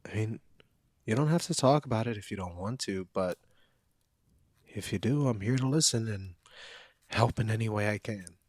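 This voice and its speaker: A man's voice: on the low side, normal speed, long pauses, darkish tone, no echo, clean studio sound, lightly acted. A man playing a caring, protective young college friend in an intimate, one-sided audio roleplay, speaking in an earnest, conversational way. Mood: gentle concern.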